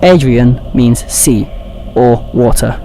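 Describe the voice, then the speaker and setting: A man acting as a calm, resolute and loyal android in a fan audio drama. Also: medium pitch, slow pace. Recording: faint ambient background noise.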